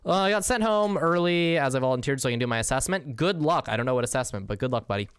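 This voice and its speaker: A man's voice: mid-range voice, expressive, fast, smooth, dry recording, studio quality. Chatty young man streaming a game. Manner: chatty, slangy, swears casually. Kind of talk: casual game livestream, reading chat.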